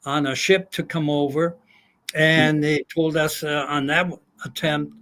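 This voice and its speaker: An older man from Germany telling his life story, halting with many fillers. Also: a little high, level tone, fairly bright tone. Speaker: guest. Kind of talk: casual storytelling.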